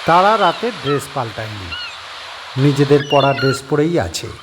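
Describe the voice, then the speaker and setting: A man narrating a horror audio story in a calm, unhurried way. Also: mid-range voice, noisy background.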